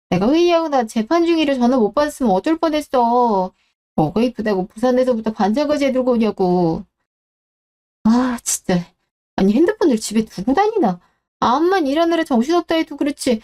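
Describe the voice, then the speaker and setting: A woman voicing a blunt, sharp-tongued daughter in her fifties in a radio drama who speaks in an exasperated way. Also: high voice, expressive, brisk. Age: 50s.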